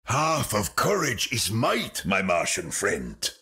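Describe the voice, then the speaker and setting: An actor playing a big, brave old knight with a gravelly voice and a booming, jovial, chivalrous manner. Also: male voice, wildly swooping pitch, slow pace, pushed and bright, full character voice. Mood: reassuring. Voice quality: raspy, gravelly.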